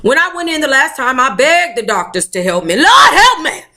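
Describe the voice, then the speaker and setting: A woman hosting a book club, reading a memoir aloud in an animated, playful way with casual, sassy asides. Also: sits high, fairly quick. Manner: animated, playful, colloquial commentary.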